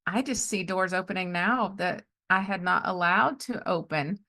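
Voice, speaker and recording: A woman's voice: lowish voice, moderate pace, pushed and bright. A woman in her sixties hosting a casual interview, talking in an encouraging, affirming way. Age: 60s. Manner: encouraging, responds often with affirmations. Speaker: podcast host, life coach.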